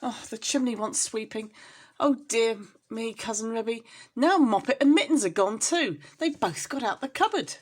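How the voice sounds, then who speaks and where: An anxious mother cat from a children's storybook, acted out by a woman. She laments tearfully in a slightly rough voice, with pitch that rises and falls a great deal as she frets. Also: animated, moderate pace. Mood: fretful. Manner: lamenting, distressed. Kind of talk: children's storybook character reading.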